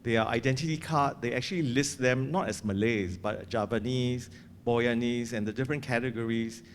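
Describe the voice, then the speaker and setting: A man's voice: medium pitch, moderate pace, a little hiss or hum. A man in the audience politely adding a point to the discussion. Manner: polite, informative addition. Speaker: audience member.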